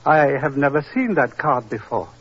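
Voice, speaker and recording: An actor playing a dignified older Chinese prince in a 1940s radio drama, speaking formally, deliberately and with philosophical courtesy. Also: male voice, mid-range voice, big pitch swings, a bit bright. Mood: courteous, wounded. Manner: formal, deliberate, hesitant pauses, moralizing. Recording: husky, muffled old radio recording.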